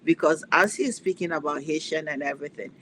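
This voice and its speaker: A woman's voice: low-pitched, brisk, a bit bright, a little hiss or hum, rough recording. A woman from Ghana hosting a podcast interview, reflective and affirming, often answering with "yes". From West Africa, Ghana. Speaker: podcast host.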